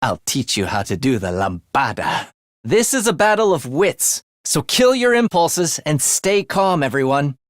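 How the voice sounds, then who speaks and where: A man's voice: a little high, big pitch swings, a little slow, no room sound. A man voicing a sly young assassin in a video game, with a dry, sardonic wit and a theatrical, teasing manner. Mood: rousing, confident. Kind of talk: video game character voice lines.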